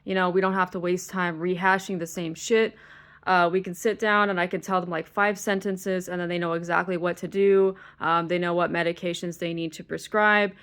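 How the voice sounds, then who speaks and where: A woman's voice: lowish voice, little pitch movement, moderate pace, fairly bright tone, smooth. A woman vlogging to camera, stressed and exasperated, venting with frequent swearing. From United States. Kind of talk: ranting to camera.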